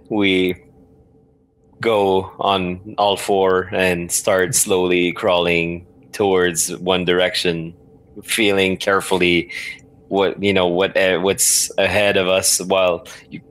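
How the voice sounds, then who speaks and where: A man talking casually as a player in a story game, thinking aloud in a halting, hesitant way. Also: low-pitched, level tone, a bit bright, low-quality recording. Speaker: podcast guest playing a text adventure.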